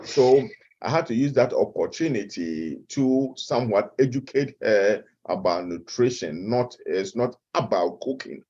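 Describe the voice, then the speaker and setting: A middle-aged man with a Ghanaian accent, a guest expert answering interview questions slowly, with frequent hesitant fillers. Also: medium pitch, animated, mellow, lo-fi sound. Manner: measured and slow, with many hesitant "uh" fillers. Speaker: guest expert, nutrition professor.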